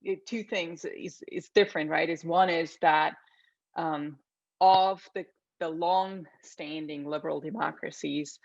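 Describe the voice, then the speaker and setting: A woman from Switzerland answers a panel question over a video call, her voice set low. She hedges and circles with fillers and "right?" as she builds her point. The line is dry and dull, like compressed online call audio. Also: no echo. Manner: hesitant, heavy use of fillers and tag questions.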